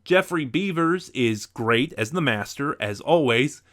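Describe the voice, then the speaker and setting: A man's voice: mid-range voice, lively intonation, a little slow. A man presenting a semi-scripted review to viewers as a video host.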